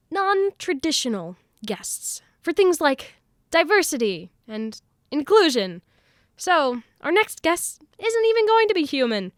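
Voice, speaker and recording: A woman's voice: high-pitched, a bit bright, no room sound, clean studio sound, full character voice. A young woman playing a bossy, theatrical young god who hosts a talk show, easily exasperated. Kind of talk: amateur audio drama acting.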